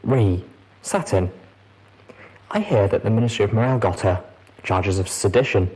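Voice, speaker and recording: A man's voice: on the low side, normal speed, mellow, husky, low-quality recording. A man voicing a jittery, bitter office employee grumbling through a private message in a storybook reading. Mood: worried, bitter.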